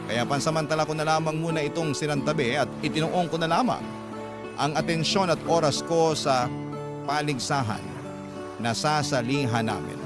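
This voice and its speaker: Higher-voiced Filipino man narrating a drama. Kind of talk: scripted first-person story narration. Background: prominent background music.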